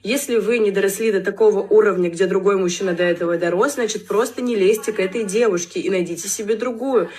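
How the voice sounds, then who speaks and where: A woman with a husky, smoky voice delivering a confident, matter-of-fact lecture straight to the listener. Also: mid-range voice, fast, hardly any pauses, darkish tone. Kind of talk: lecturing monologue to men.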